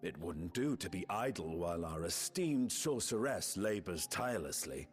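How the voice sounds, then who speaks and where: A wry and gallant game warrior, deep with a lively, rolling pitch. faint music plays beneath. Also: male voice, normal speed.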